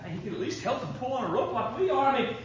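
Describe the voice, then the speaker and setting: A man's voice: extremely high pitch, lively intonation, fast, dull audio, a little hiss or hum. An American man preaching a sermon in a conversational way, repeating phrases for emphasis. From United States.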